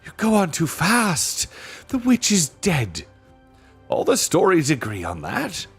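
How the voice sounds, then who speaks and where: An agitated scholar from a storybook, played by a man, learned and protesting. His urgent voice sits high and jumps about. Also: huge pitch swings, slow pace, husky, soft background music, exaggerated voice.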